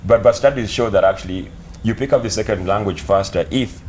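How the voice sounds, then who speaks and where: A man's voice: fairly low pitch, brisk, a little mellow, a little hiss or hum. A man jumping eagerly into a family interview, stumbling over repeated words as he makes his point. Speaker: interview guest, family member. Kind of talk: interview conversation.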